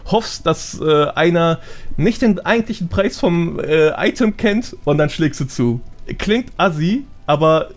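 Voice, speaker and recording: Guy with a kinda high voice, chatting on a gaming podcast, explaining stuff casually. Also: animated, unhurried. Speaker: podcast guest, gamer.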